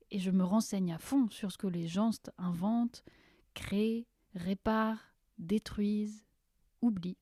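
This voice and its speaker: An actress playing a curious, reflective wanderer who gathers stories, musing poetically in an audio fiction monologue. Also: female voice, medium pitch, mellow, dry recording, clean studio sound.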